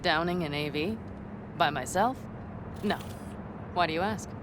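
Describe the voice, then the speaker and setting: An actress playing a tough, self-assured nomad mercenary in a video game, brisk, confident and plain-spoken. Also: female voice, lowish voice, close to an everyday voice. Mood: wry, instructive. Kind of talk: video game dialogue acting. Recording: vehicle and outdoor noise behind.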